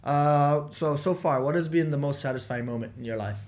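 A man's voice: a little high, fairly quick, a little mellow. A man hosting a casual podcast interview, encouraging and chummy, calling his guest "bro" and "man".

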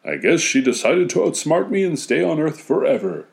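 A man's voice: fairly high pitch, big pitch swings, moderate pace, gravelly, pitched above the performer's own voice. A man voicing Father Christmas, a kindly and resolute old winter spirit.

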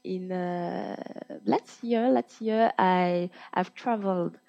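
Young woman, from Côte d'Ivoire, hesitant, low-ish voice, dull lo-fi sound. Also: gravelly, mellow. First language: French. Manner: hesitant, many pauses and fillers. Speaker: guest. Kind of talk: casual interview chat. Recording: dull lo-fi audio.

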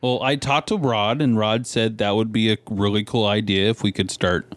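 A man co-hosting a podcast, talking in a casual, colloquial way with halting phrasing. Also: fairly low pitch, steady tone, no room sound. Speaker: podcast co-host. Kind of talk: casual chat.

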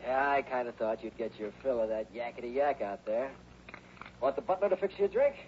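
A man's voice: mid-range voice, a little fast, close to an everyday voice. Breezy insurance man played by an actor, muffled. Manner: casual, slangy. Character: breezy, relieved male insurance company man. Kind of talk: 1960s radio drama acting. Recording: muffled, hissy old radio recording.

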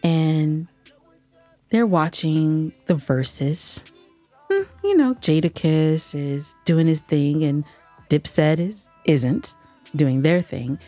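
A woman co-hosting a podcast, telling a story in casual banter with teasing, dramatic, drawn-out emphasis. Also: low voice, lively intonation, mellow, faint music behind. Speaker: podcast co-host.

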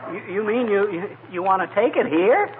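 An actor playing a bumbling, excitable, folksy husband in a 1940s radio comedy, with a blustering manner. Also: male voice, high-pitched, fairly quick, phone-quality sound, crowd noise. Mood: flustered. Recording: noisy old radio recording, loud studio audience.

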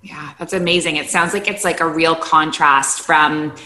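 A woman's voice: low-pitched, fairly even intonation, moderate pace, bright, forceful tone. A woman hosting an interview over a video call, enthusiastic and upbeat as she asks her questions. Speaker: podcast host. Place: room in Abu Dhabi. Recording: roomy echo.

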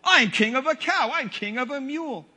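A man's voice: very high-pitched, expressive, moderate pace, pushed and bright, exaggerated voice. A man voicing a boastful, domineering turtle king from a children's storybook, pompous and bellowing. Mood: gloating. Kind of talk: children's storybook read aloud. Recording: long hall reverb.

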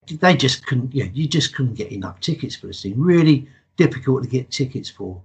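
Retired English man in his sixties, slightly husky, telling his stories casually and plainly. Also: medium pitch, moderate pace. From England. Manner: chatty storyteller, relaxed and matter-of-fact. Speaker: podcast guest. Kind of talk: casual interview chat.

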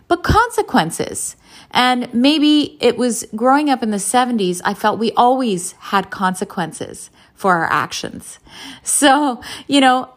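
A woman in her fifties speaks to camera as a nutrition and lifestyle vlogger. Her delivery is slow and unhurried, and her pitch sits at an ordinary middle height. She sounds open and reflective, taking her time over each thought. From Canada. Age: 50s. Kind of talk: candid casual talk to camera.

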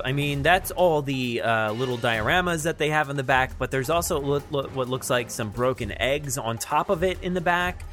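A man hosting a podcast, chatting casually through news in a halting, think-aloud way. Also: medium pitch, a bit bright. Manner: halting, thinking aloud while describing what he sees. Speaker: podcast host. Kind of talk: casual unscripted news chat.

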